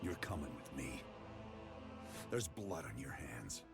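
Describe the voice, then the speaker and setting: An actor playing a hardened, vengeful operative in a game cutscene, blunt and angry. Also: male voice, a bass voice, sing-song, slow pace, heavily acted. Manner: blunt, forceful, swearing. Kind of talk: dramatic game cutscene acting. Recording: loud music behind the voice.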